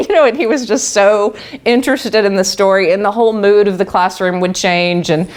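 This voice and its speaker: A woman's voice: mid-range voice, normal speed. Laughing, earnest woman speaker. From Texas. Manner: warm, laughs often, many restarts. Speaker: guest speaker. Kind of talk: personal talk to a live group.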